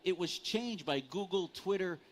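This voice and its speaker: Male debate panelist from the US, fairly high pitch, earnest and insistent, faint conference hall noise behind. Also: expressive, normal speed. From United States. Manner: earnest, insistent, formal vocabulary. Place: conference stage.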